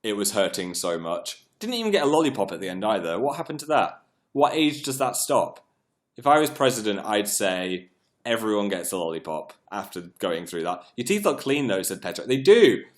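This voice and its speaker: A man's voice: on the low side, very animated, normal speed. Froggy-voiced British man chatting on a livestream. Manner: rambling, self-mocking, jokey. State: froggy, croaky voice recovering from voice loss. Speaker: live stream host. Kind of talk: casual chatty livestream, reading out chat.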